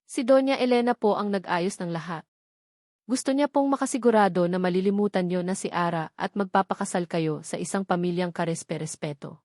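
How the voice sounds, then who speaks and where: A woman's voice: on the low side, brisk, darkish tone, no room sound, clean studio sound, natural voice. A Filipino woman voicing a deferential, anxious household maid in a story, respectful and confiding.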